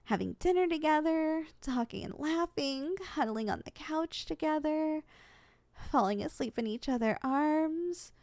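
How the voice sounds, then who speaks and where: A woman playing an eager, sweet and easily flustered young succubus trainee in an ASMR roleplay, expressive and coaxing. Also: high voice, measured pace, no echo, studio quality. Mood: flustered, defensive.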